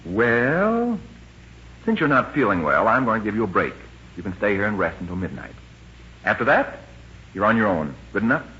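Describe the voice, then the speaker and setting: Sharp, animated wisecracking young man. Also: on the low side, moderate pace, pauses often. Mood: brusque, teasing. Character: flustered, wisecracking young American man on a cruise ship. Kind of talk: 1940s radio acting. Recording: dull old radio recording with music.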